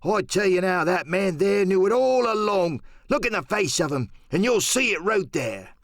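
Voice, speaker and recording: A man's voice: high-pitched, lively intonation, moderate pace, no echo, studio quality, pitched above the performer's own voice. A man voicing a furious, mocking pirate mutineer, roaring out taunts in an audiobook drama. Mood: furious, scornful.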